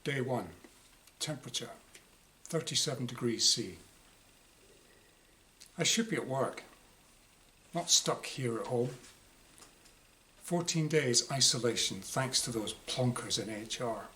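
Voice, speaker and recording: Man reading wryly, bright voice, noisy recording. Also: mid-range voice, lively intonation, takes it slowly, long pauses. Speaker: author reading own short story. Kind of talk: dramatised reading of a first-person diary story. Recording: clear background noise.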